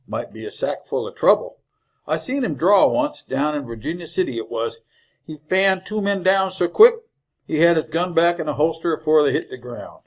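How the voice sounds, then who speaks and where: An actor playing a greedy, folksy wagon driver in an old-time radio western, talking in blunt rustic cowboy speech. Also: male voice, fairly high pitch, lively intonation, moderate pace. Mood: scheming, eager. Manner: drawling rustic cowboy grammar, blunt, jovially callous.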